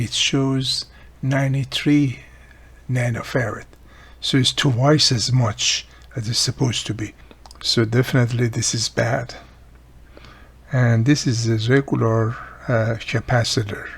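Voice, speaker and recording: Gravelly, husky man explaining repairs. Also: mid-range voice. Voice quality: raspy, gravelly. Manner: explains step by step, thinking aloud. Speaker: repair video host. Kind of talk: casual hands-on tutorial narration.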